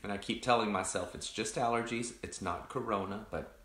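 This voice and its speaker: A man, a pastor casually chatting with online viewers in a rambling, reflective way, his voice deepened by allergies. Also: fairly even intonation, brisk, fairly bright tone, roomy sound. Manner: rambling, many restarts and fillers, greets viewers by name. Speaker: pastor hosting an online coffee chat.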